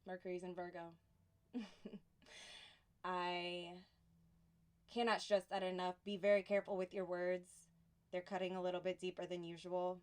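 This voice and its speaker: Smooth-voiced woman giving a relaxed tarot reading. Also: lowish voice. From Houston. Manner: soft, unhurried, reflective delivery with pauses. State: sniffly from allergies. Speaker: tarot reader.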